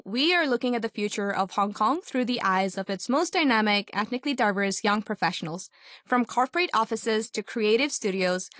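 A woman's voice: medium pitch, fairly bright tone, no room sound, clean studio sound. A woman hosting a radio talk show, upbeat and articulate. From Pakistan. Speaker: host.

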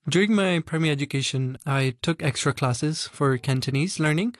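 A man's voice: fairly high pitch, moderate pace, no room sound. Earnest young man, dry close sound. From Hong Kong. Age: young adult. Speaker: guest. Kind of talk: interview answers.

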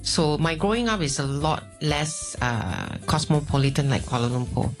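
A woman with a Malaysian accent answers as an interview guest in a very low voice. She talks in a chatty, plain way. Soft music sits faintly behind her. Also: lively intonation, moderate pace. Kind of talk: conversational interview.

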